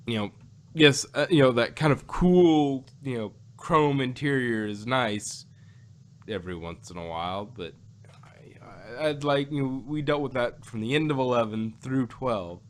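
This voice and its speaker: A man chatting casually as a podcast host, rambling and halting, often repeating himself as he gives his opinions. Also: medium pitch. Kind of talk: casual opinionated fan chat.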